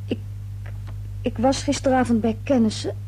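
Breathy, nervous young woman. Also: fairly high pitch, steady tone, mellow, low-quality recording. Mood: nervous, hesitant. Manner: hesitant, halting, repeating words. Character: nervous, evasive young woman under police questioning. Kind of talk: radio play acting.

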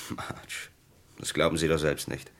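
A man's voice: rumbling low, level tone, measured pace. An actor playing a bitter, despairing young man wrongly accused of murder in a vintage radio crime drama, brooding and resigned.

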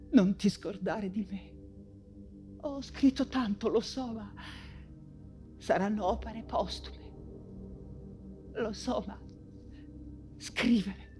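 An actress playing an anguished woman writer and mother fleeing persecution in a wartime stage monologue, theatrical and halting, with anxious repetitions. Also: on the high side, a little mellow. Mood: worried, subdued.

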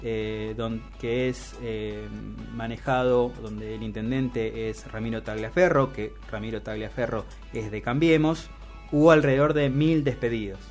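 Halting Argentine radio man over music. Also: mid-range voice, fairly even intonation. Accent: Argentine Spanish. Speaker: radio co-host. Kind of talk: news commentary on radio. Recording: music playing behind the voice.

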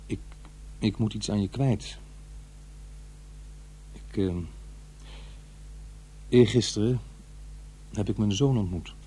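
An actor playing an uneasy, defensive middle-aged divorced father in a radio drama, confiding in a halting, conversational way. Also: fairly low pitch, dark tone, breathy edge, rough recording. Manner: halting, hesitant, self-interrupting. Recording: faint noise and hum.

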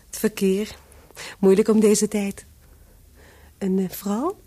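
A woman's voice: medium pitch, flat delivery, slow pace, warm tone, breathy edge. An actor, a woman, playing an insinuating woman lingering in a bar in a radio play, languid and probing in manner.

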